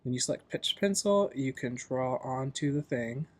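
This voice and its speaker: A man hosting a software tutorial in a casual, loosely explanatory, informal way. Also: medium pitch, animated, normal speed. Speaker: tutorial host.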